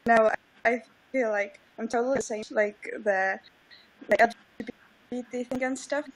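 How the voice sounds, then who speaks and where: A woman with an Estonian accent joins by video call and speaks halting English with many fillers. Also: fairly high pitch, fairly even intonation, a little hiss or hum, rough recording. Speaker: livestream guest. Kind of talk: casual video call chat.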